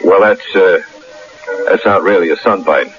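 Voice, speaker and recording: A genial stranger with a faintly sinister slyness in a radio play. His voice is on the low side, courtly and deliberate. The sound is dull and lo-fi, with faint music behind. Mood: genial, sly. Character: genial, sly red-faced lawyer stranger, faintly sinister. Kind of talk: old-time radio drama acting.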